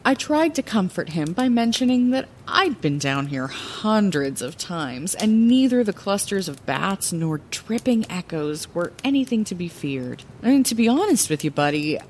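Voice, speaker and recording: Woman playing an animated, chatty lighthouse keeper. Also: mid-range voice, moderate pace, few pauses, slight background noise, natural voice. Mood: storytelling. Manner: expressive, hesitant, conversational asides. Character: Zellera, chatty, scrappy young woman lighthouse keeper recounting secret treasure. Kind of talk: audio drama bedtime-story narration.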